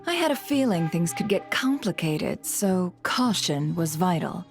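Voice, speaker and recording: An actress playing a poised, cryptic guild head in a video game, speaking formally and with measured courtesy. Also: female voice, fairly low pitch, very animated, soft background music. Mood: composed. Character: poised, cryptic woman heading a secretive trade guild. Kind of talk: video game character acting.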